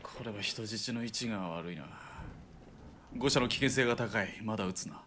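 A steady army spotter, voiced by a man in a radio drama, with a low voice. He gives crisp, clipped commands and talks to his partner in rough, joking speech. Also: normal speed, background noise, natural voice.

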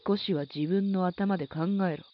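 An actor playing an enigmatic stargazing mentor in an audio drama, measured, curt and aloof, with night insects behind. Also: medium pitch, lightly acted. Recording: dull band-limited sound, night insects.

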